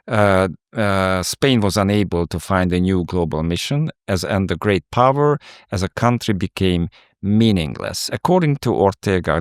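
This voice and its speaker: Low-voiced Hungarian man, halting. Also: clear, resonant tone, no echo, clean studio sound. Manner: halting, with many fillers. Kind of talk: panel discussion.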